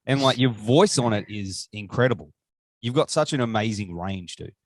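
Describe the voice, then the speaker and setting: Enthusiastic male podcast host, very animated intonation, dry close sound. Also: on the low side, moderate pace, dry recording, low-quality recording. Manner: enthusiastic, chatty, rambling questions. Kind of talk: casual interview chat.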